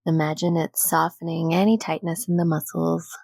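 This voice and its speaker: A woman leading a guided meditation in a gentle, soothing, unhurried manner with long pauses between phrases. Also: deep voice, steady tone, creaky, slightly rough, dry recording.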